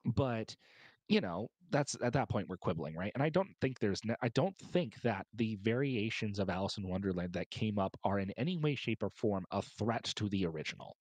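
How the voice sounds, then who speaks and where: A man's voice: lowish voice, lively intonation, moderate pace, a little mellow, husky, no echo. A man speaking as a podcast panelist, explaining his points at length in a wordy, emphatic, step-by-step way.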